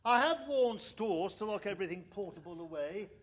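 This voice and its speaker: Exasperated, high-voiced English captain. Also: male voice, lively intonation, a little fast. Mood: irritated, sarcastic. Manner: dry, clipped, sarcastic. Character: exasperated, sardonic English army captain and engineer. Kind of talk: radio play acting.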